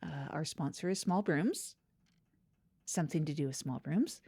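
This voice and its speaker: A woman with a slightly low voice co-hosts a comedy podcast. She chats in an improvised, rambling style, feeling her way tentatively through each thought. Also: normal speed, darkish tone, husky. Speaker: podcast co-host. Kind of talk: improvised comic podcast chat.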